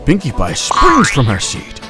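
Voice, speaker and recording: Lively male storybook narrator. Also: fairly high pitch, unhurried. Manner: lively, expressive reading. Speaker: narrator of a fan-made visual novel. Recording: narration over background music.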